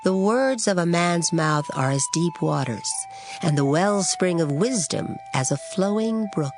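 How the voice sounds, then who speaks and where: A woman with a somewhat low voice reads scripture slowly and solemnly, her pitch swinging widely across each line. Music plays clearly underneath. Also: animated. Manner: measured, solemn recitation. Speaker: scripture reader. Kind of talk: dramatized scripture reading. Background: background music.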